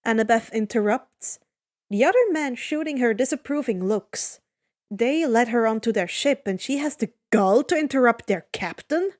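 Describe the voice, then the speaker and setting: A woman's voice: on the high side, lively intonation, normal speed. A woman reading fan fiction aloud as a dramatic story narration.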